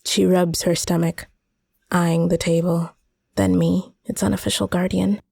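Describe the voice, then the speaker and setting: Female story narrator, slow and deliberate, mellow dark tone, creaky edge, expressive literary reading. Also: fairly low pitch, no echo.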